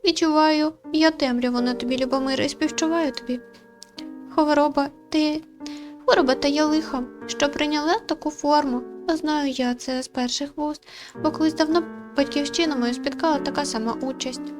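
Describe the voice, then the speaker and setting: A woman voicing a gentle, sorrowful female forest spirit in a visual novel, with lofty, old-fashioned, tender phrasing. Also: sits high, fairly even intonation, moderate pace, music in the background, pitched above the performer's own voice, lightly acted. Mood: wistful, sorrowful.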